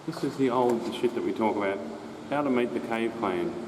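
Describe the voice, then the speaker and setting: A man's voice: mid-range voice, moderate pace. A man casually narrating his walk through a stormwater drain, rambling and swearing freely. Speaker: drain explorer host. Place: underground stormwater drain tunnel. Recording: echoey tunnel with steady noise.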